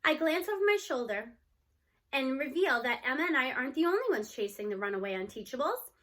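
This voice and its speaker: A woman reads a children's novel aloud. Her voice is high and bright, in the style of scripted story narration. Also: lively intonation, brisk.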